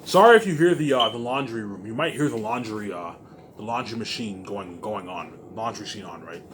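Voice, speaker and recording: A man's voice: on the low side, moderate pace, fairly bright tone. A man rambling casually to viewers in an emphatic, repetitive, profane way, leaning on the same verbal tags. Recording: faint laundry-machine background noise.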